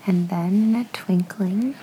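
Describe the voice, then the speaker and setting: A woman quietly prompting a child line by line from a poem, often in a whisper. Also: mid-range voice, unhurried, husky, poor audio. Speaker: adult prompting a young child.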